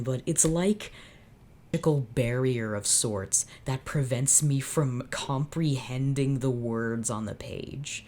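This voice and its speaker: Very deep-voiced sardonic woman. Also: mellow. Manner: sardonic, dramatic. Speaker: channel host reading fanfiction. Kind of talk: unscripted reading with live reactions.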